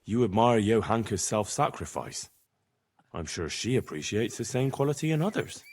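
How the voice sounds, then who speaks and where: An actor playing an earnest, helpful young medieval villager in a video game, speaking plainly. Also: male voice, fairly low pitch, big pitch swings, normal speed, darkish tone. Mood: dry, polite. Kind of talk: video game cutscene dialogue.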